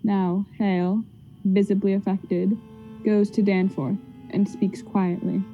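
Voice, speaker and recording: A female narrator reads scripted stage directions for a drama. Her voice is deeply mellow and very level in pitch, recorded somewhat dully, with faint music underneath. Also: level tone, takes it slowly. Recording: dull, lo-fi sound with faint music.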